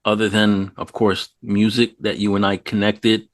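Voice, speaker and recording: A man's voice: on the low side, little pitch movement, normal speed, no room sound, studio quality. A man from New York City hosting a casual interview, talking loosely, swearing and laughing often. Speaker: host.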